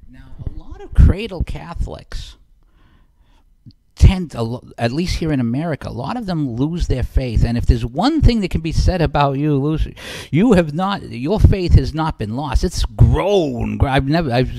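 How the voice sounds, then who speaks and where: A man's voice: fairly high pitch, very animated, moderate pace, gravelly, warm tone, dry recording. A man in his fifties hosting an interview, friendly and often restarting his questions. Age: 50s. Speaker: interviewer and host. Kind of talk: conversational interview.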